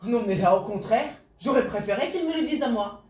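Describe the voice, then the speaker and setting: A woman's voice: a little high, lively intonation, fairly quick, warm tone, echoey room, far from the mic, pitched above the performer's own voice, close to an everyday voice. A woman playing an earnest, reflective actress in a stage play.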